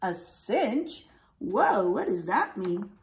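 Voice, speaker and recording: A woman in her forties, a preschool teacher reading a picture book aloud, exclaiming and putting questions to young listeners in an animated, expressive way. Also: mid-range voice, takes it slowly, noisy background. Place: small indoor room with posters.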